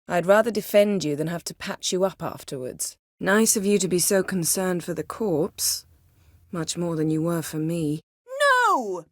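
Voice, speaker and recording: A woman playing a proud, sardonic, commanding sorceress in a video game, speaking in dry, measured, formal phrases. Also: mid-range voice, no room sound. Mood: stern, sardonic, then urgent, angry.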